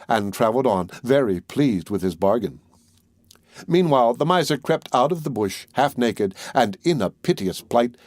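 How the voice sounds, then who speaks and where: Guy narrating a fairy tale audiobook, medium pitch, very dry recording. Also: a little mellow, dry recording.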